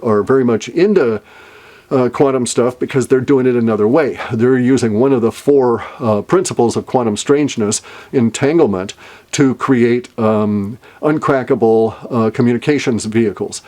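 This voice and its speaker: A man's voice: medium pitch, normal speed, warm tone. An older man hosting a solo talk, rambling and speculative, with many fillers and restarts. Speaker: podcast host. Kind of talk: casual solo monologue.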